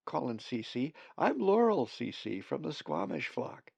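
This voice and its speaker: A man's voice: mid-range voice, wildly swooping pitch, unhurried, creaky, a little mellow, no room sound, heavily acted. A man voicing a friendly female raven, polite and matter-of-fact.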